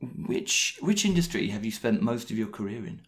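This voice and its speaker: A man's voice: lowish voice, big pitch swings. Male podcast host asking questions. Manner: brisk, scripted intro then questions. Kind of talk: podcast interview.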